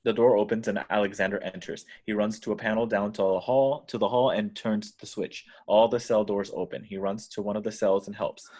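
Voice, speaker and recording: A man's voice: lowish voice, darkish tone. A man reading screenplay action descriptions as scripted narration at a table read. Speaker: stage directions reader.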